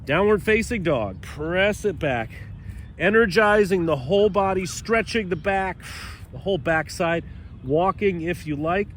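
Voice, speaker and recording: A man leading a stretching routine as fitness instructor, cueing calmly and chatting in between, with a slightly stuffy nose, outdoors. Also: high voice, measured pace, slight background noise. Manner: calm, chatty cueing with breathy sighs.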